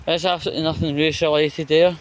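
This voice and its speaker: A Scottish man rambling through a casual anecdote in a halting, opinionated and aggrieved way. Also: fairly high pitch, flat delivery, slight background noise. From Scotland. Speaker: vlogger.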